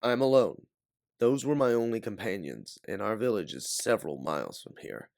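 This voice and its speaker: Mysterious raider guide voiced by a man, knowing and eager, raised pitch, animated audiobook read, dry close sound. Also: normal speed, vocal fry, dry recording. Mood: wary, placating. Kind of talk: audiobook character voice.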